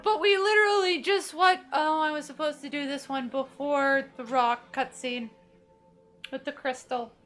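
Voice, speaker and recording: A woman's voice: high-pitched, steady tone, normal speed, fairly bright tone, soft background music. A woman hosting a casual gaming playthrough, jokily paraphrasing what the characters say. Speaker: gaming video host and player.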